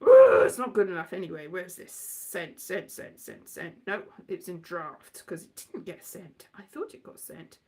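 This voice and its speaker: A woman's voice: lowish voice, huge pitch swings, moderate pace, hardly any pauses, a little mellow. A woman giving a rambling, casual comic monologue with a theatrical, wry, exasperated manner, slipping into sing-song and mimicked exchanges. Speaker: solo performer of a radio play monologue.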